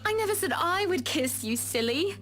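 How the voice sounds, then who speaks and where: A man's voice: sing-song, normal speed, bright, forceful tone, full character voice. Coy teasing lady, as a man puts her on. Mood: flirty teasing. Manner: playful, coy, sing-song falsetto. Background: game music.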